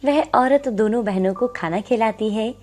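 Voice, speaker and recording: A woman's voice: fairly high pitch, moderate pace, darkish tone. A woman reading scripted narration for a moral story as its storyteller.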